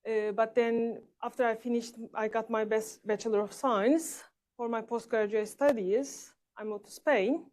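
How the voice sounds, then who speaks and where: A woman from Turkey introducing herself on a panel at an event, in a friendly manner with frequent filler pauses. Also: on the high side, a little mellow. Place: event stage in a large hall.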